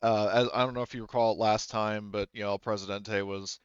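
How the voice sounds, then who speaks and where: Low, clear-voiced man as game master. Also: level tone, a little fast, no room sound, lo-fi sound. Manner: conversational, explanatory. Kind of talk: narrating a tabletop game.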